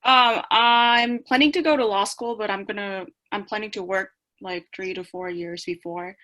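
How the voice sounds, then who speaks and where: A woman's voice: mid-range voice, steady tone, moderate pace, pushed and bright, low-quality recording. A woman in her early twenties from South Korea, answering interview questions casually as a college student on a video call from a small room. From South Korea, moved to the US at eleven. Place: small bedroom, indoors.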